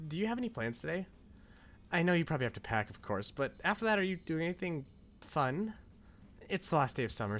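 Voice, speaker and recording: Man voicing a shy, higher-pitched young woman. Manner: hesitant, gentle. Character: shy, sweet, apologetic young woman leaving a voicemail.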